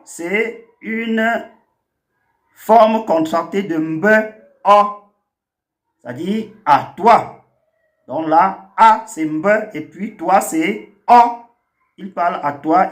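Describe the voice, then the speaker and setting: A man hosting a language lesson channel, slowly and deliberately spelling out words one at a time. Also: on the high side. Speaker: language teacher and channel host.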